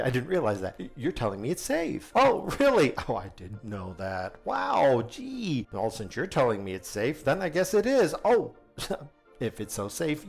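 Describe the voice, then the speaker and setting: A man's voice: high-pitched, gravelly, heavily acted. A man playing an exasperated, sarcastic small-town radio host in a comic audio drama, theatrical and grumbling. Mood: sarcastic goading.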